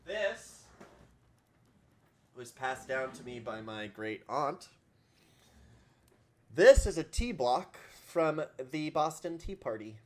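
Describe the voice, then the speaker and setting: A man's voice: medium pitch, sing-song, slow pace, long pauses, a little mellow. A man streaming a game in casual chat, rambling and self-deprecating and often laughing, talking from a room at home. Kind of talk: casual livestream chat while playing a game. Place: home room with a collection of objects.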